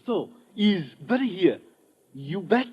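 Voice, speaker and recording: An actor playing an eager Japanese racetrack tout in an old radio drama, with a caricatured accent and clipped broken English. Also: male voice, high-pitched, big pitch swings, takes it slowly, husky, exaggerated voice. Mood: furtive, eager. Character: eager, wheedling Japanese racetrack tout, small-time hustler. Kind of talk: 1950s radio drama acting. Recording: muffled, slightly noisy vintage radio recording.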